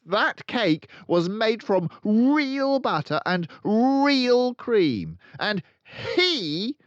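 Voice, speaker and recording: Screechy raging headmistress, slow drawn-out barks, dry close sound. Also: mid-range voice, very animated, dry recording, dull audio, voice pushed up. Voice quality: shrieking, barking. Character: bellowing tyrannical giant headmistress, furious.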